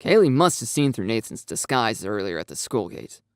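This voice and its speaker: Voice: mid-range voice, very animated, normal speed, clean tone, dry recording. A narrator reading a dramatised first-person story in a scripted style. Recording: dry, music behind. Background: background music.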